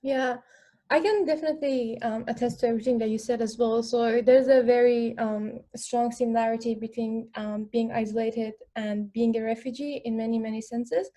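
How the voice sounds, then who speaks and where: A woman's voice: fairly high pitch, steady tone, moderate pace, mellow. Earnest young woman, English second language. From Afghanistan. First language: not English. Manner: earnest, frequent fillers and restarts. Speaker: panel speaker. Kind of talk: online panel conversation.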